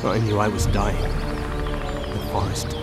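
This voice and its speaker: An actor playing a dying elf scout in a fantasy video game, weak, halting and solemn. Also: male voice, lowish voice, fairly even intonation, loud music behind the voice. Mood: weary, solemn. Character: dying male elf scout, weary and visionary.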